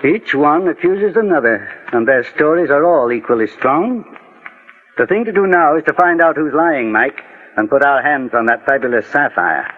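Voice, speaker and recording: A man playing a calm, courteous detective with measured, formal questioning in 1940s radio drama style. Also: on the high side, phone-quality sound. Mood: resolute. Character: calm, authoritative older male detective, methodical and courteous. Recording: band-limited old 1940s radio recording.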